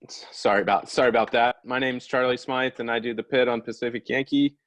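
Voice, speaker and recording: A man's voice: mid-range voice, fairly even intonation, fast, crowd noise. A man who works the pit on a racing sailboat, introducing himself and explaining his role in a friendly, slightly hesitant way. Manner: friendly, a bit hesitant, says he is loud. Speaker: sailing crew pit person. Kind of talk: webinar explanation. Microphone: video call.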